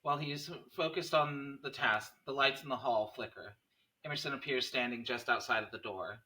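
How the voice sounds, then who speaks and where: A man's voice: mid-range voice, a little fast, pushed and bright, low-quality recording. A man reading screenplay stage directions as narrator at a table read.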